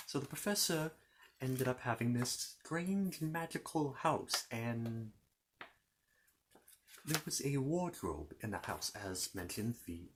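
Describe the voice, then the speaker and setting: A man's voice: medium pitch, slight background noise. Man playing a fussy, lilting fantasy bookkeeper. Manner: hesitant, rambling, apologetic, repeats himself. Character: fussy, courteous Narnian bookkeeper recounting his world's history. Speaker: ASMR storyteller. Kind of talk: ASMR roleplay storytelling.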